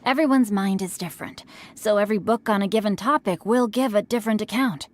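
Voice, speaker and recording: A man's voice: sits high, brisk, natural voice. A man voicing a courtly, bookish young noble. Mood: refined. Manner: courtly, eloquent. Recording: game cutscene with faint music.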